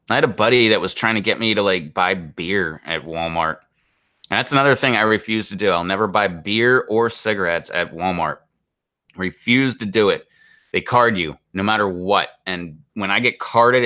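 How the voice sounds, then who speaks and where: A man's voice: fairly low pitch, pushed and bright. A man, chatting casually to stream viewers in a rambling, exasperated way full of "like". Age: 40s. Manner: rambling and exasperated, heavy use of "like". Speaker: live stream host.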